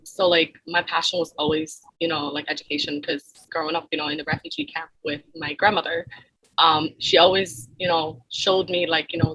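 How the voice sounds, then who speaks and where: A woman's voice: sits low, vocal fry, fairly bright tone, breathy edge, poor audio. A young woman from Kenya, a nonprofit founder, answering interview questions in a rambling way full of "like" and "you know".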